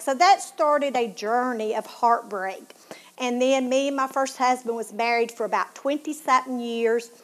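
Heartfelt Tennessee woman sharing her story. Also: on the high side. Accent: Southern US, Tennessee. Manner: earnest, heartfelt, drops to a hushed tone at painful moments. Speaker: show host. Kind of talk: personal testimony talk.

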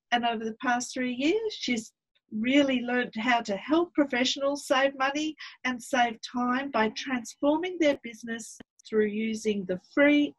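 A high-pitched woman hosts an interview. Her voice is breathy. She sounds upbeat as she introduces her guest and hesitates with fillers in her questions. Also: fairly bright tone, rough recording. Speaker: interview host. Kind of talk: hosted interview.

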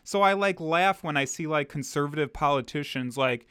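A man's voice: fairly high pitch, normal speed, a bit bright, clean tone, no room sound, studio quality. A man co-hosting a casual political podcast, earnest and explanatory, filling his sentences with loose "like"s. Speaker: podcast co-host.

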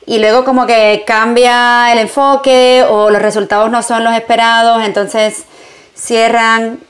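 A woman's voice: a little high, little pitch movement, a little slow. A woman from Puerto Rico with a Puerto Rican accent, a journalist answering interview questions in a reflective, conversational way, mixing in English phrases. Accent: Puerto Rican Spanish. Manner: reflective, mixes in English phrases, frequent fillers. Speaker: journalist and entrepreneur, guest.

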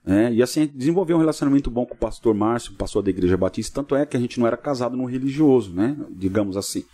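A middle-aged Brazilian man from São Paulo state talks as a podcast guest. His voice is soft-edged and relaxed in tone, yet the words come very quickly. He chats informally, dotting his stories with short tag questions. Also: medium pitch. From Cubatão, São Paulo coast, Brazil. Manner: peppers talk with tag questions. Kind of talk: informal interview storytelling.

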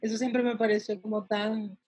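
Husky, even-toned woman in conversation. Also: medium pitch, no room sound. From United States. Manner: halting, searching for words with drawn-out pauses. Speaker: interviewee, salon worker and empowerment advocate for girls. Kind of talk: conversational interview answers.

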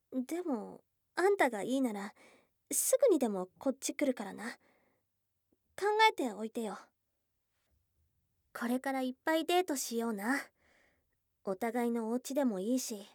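A woman's voice: fairly high pitch, darkish tone, dry recording, studio quality. A woman voicing a shy, blunt young goblin girl in love, in an intimate ASMR voice drama with tomboyish, affectionate speech. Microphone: close dry studio mic.

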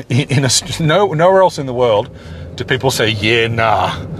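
A man's voice: medium pitch, lively intonation, normal speed. An Australian man, middle-aged, talking casually and digressively while driving, often correcting himself and swearing mildly. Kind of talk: casual rambling monologue. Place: inside a car while driving. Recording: loud car and traffic noise. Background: road and engine noise.

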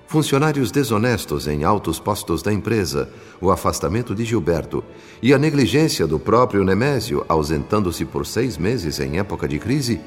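A Brazilian man narrating a scripted radio drama in a formal, measured manner. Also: low-pitched. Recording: long reverb, music behind.

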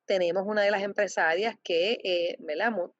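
A woman representing a foundation, answering interview questions with many pauses, fillers and restarts. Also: medium pitch, steady tone, no echo. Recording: dull lo-fi dry sound.

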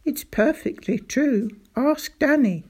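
A woman's voice: sits high, slow pace, pitched above the performer's own voice. Woman doing a dry, weary father. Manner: calm, dryly understated. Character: tired injured grown man, a dry-humoured father.

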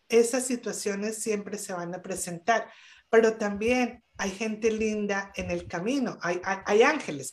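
A woman speaking as a guest on a podcast panel over a video call, in an earnest, emphatic way with many hesitations. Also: mid-range voice. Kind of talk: motivational talk on a podcast panel.

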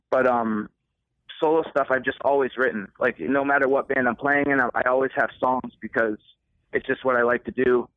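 A man's voice: medium pitch, dry recording. A man musician answering interview questions in a rambling, casual way with lots of fillers. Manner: rambling, many fillers and restarts. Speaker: musician guest. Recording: tinny phone line.

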